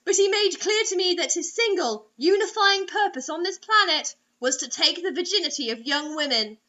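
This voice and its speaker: Very high-pitched, theatrical woman reading stories. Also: expressive, moderate pace, bright, forceful tone. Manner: theatrical, sarcastic. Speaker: host reading bad online fiction aloud. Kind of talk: dramatic story reading with mocking asides. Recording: dull lo-fi recording.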